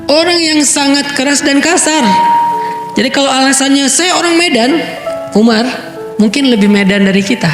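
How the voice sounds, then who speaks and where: A man giving a conversational Islamic sermon, explaining with rhetorical questions and Arabic recitation. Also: very high voice. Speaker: preacher. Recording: echoey room with faint music.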